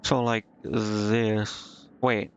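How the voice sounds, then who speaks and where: A man's voice: lowish voice, little pitch movement, drawn-out words, smooth, lo-fi sound. A man doing casual live game commentary as a streamer, hesitantly thinking aloud to himself and reading on-screen text out. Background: game music.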